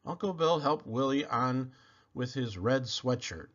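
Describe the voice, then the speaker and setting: A man reading a children's picture book aloud in an expressive, animated and deliberate storytelling manner. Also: mid-range voice, highs cut off.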